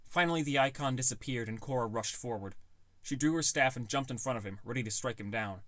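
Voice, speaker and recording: A man's voice: medium pitch, fast talker, fairly bright tone, no echo. A man reading scripted first-person fantasy narration as an audiobook narrator.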